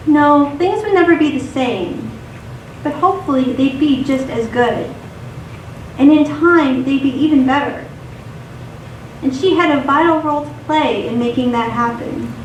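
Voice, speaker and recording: A woman reading scripted poetry and stories in a measured, recited delivery for radio theatre. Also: sits high. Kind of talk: scripted poetry and story narration. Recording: distant echoey stage recording, noisy.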